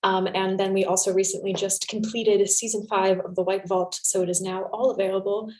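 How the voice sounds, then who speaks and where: A woman's voice: mid-range voice, level tone, brisk, darkish tone. Woman in an echoey room. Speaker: panelist, fiction podcast writer. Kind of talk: panel discussion, promoting own shows.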